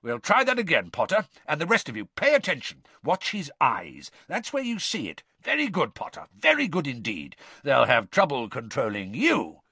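A man voicing a gruff, intimidating old male teacher in an audiobook, growling and barking out commands. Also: mid-range voice, very animated, a little slow, few pauses, bright, forceful tone, no room sound, exaggerated voice. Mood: fierce approval.

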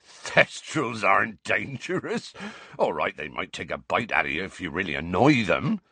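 Male narrator as big kindly rustic man, cheerful, hesitant, animated pitch, storybook character. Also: normal speed, breathy edge, no room sound, exaggerated voice. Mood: anxious. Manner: hesitant, informal, folksy.

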